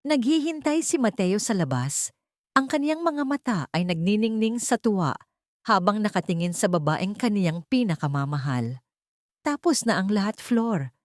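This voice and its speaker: Low-voiced Filipino woman narrating a dramatic story. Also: a little mellow, no room sound, studio quality.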